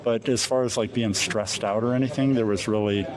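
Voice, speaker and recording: An American man in a casual interview as a pilot, recounting things in a halting way with frequent pauses mid-phrase. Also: on the low side, fairly even intonation. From Alaska, USA. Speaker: competition glider pilot being interviewed. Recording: crowd chatter in the background.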